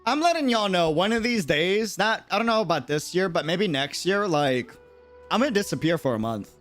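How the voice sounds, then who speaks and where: An American man with a fairly high, very lively voice commentates on a game stream. He talks in loose, chatty slang and reacts readily, with light music behind him. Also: moderate pace. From United States. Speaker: live streamer. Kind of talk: casual game livestream commentary.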